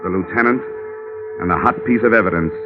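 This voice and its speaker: An American actor playing a wry, world-weary insurance investigator in a 1940s radio detective drama, with a clipped, deadpan delivery. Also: male voice, lowish voice, quick pace, close to an everyday voice. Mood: grim, wry narration. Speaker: lead actor and first-person narrator. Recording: muffled vintage 1940s radio broadcast.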